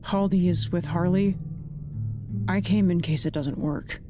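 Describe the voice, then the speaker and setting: A woman acting in an audio drama as a blunt, guarded, quietly caring colleague, speaking plainly and earnestly. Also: lowish voice, unhurried, over music, lightly acted. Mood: matter-of-fact.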